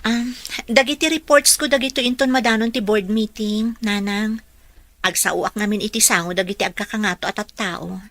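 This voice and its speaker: A woman's voice: mid-range voice, steady tone, normal speed, fairly bright tone, no room sound, natural voice. A woman playing an earnest young employee, Ivory. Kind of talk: radio drama acting.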